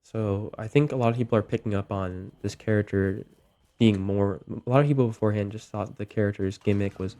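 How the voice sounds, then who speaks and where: Young male gaming host with a cold, low mellow voice, laid-back commentary full of filler words. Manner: relaxed, many ums and likes. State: getting over a cold, voice a little off. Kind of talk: casual gameplay commentary.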